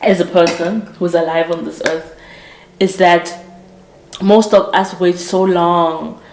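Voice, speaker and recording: A woman in her late twenties talks through her thoughts in an earnest, reflective solo podcast. Her voice sits a little low for a woman, and she often stops to correct or restart a sentence. The room around her gives a noticeable echo. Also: fairly even intonation, slow pace, a little mellow, slight background noise. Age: 20s. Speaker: podcast host. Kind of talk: casual motivational monologue.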